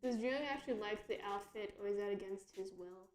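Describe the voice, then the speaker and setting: A woman's voice: mid-range voice, brisk. A young woman, a comic artist, chatting casually on a livestream while she draws, reading out viewer questions and laughing often in a self-deprecating way. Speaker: webcomic artist answering chat questions. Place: home room while drawing. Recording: echoey room with music behind.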